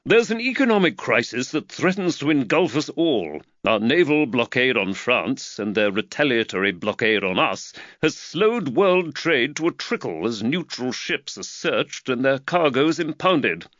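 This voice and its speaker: Guy doing a preachy old-school magistrate, pitched up, all animated, dull dry sound. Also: measured pace, hardly any pauses, no echo. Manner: lively, preachy, warms to his theme. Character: gruff middle-aged male London magistrate, earnest.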